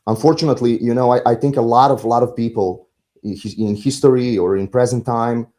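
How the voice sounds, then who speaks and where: A middle-aged man with a Serbian accent, talking reflectively as an interview guest, halting and philosophical as he searches for words. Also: medium pitch, fairly even intonation, warm tone. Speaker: podcast guest, musician.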